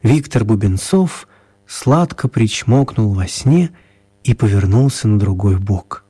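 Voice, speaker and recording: A man narrates a children's radio story in a mid-pitched, mellow voice with a husky edge. The delivery is scripted storytelling, recorded close and dry. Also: animated, slow, dry recording.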